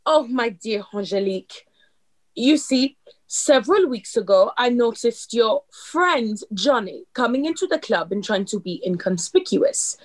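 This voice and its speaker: A woman playing a poised, clever club performer in a radio play, explaining things with deliberate dramatic delivery. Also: on the high side, lively intonation. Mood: triumphant explaining.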